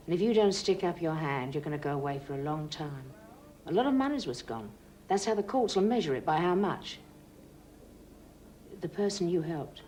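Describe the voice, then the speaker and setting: Woman doing a stern interrogator, low voice, cold and pushy. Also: lively intonation, normal speed, a little mellow, slight background noise, close to an everyday voice. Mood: pressing. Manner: cold, pressing, threatening. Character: stern female investigator interrogating a suspect. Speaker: security officer questioning a suspect. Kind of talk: 1980s TV drama acting.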